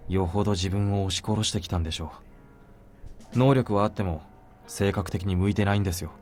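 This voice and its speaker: Man as an earnest, rapid-fire male soldier. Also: deep voice, fairly even intonation, darkish tone, lightly acted. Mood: pressing. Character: earnest male soldier, politely challenging his superior. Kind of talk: Japanese visual novel voice acting. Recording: game music under the voice.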